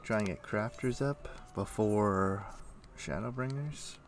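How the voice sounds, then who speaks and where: A man livestreaming a game, chatting casually and thinking aloud in a rambling way, with a rough morning voice. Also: fairly low pitch, slow, soft background music. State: rough morning voice, throat clearing. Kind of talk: casual chat while playing an online game.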